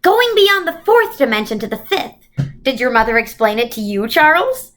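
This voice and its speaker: A woman plays a reassuring otherworldly female guide in a storybook. The voice is high and rises often, with a patient, encouraging way of explaining things. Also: big pitch swings, normal speed, a bit bright.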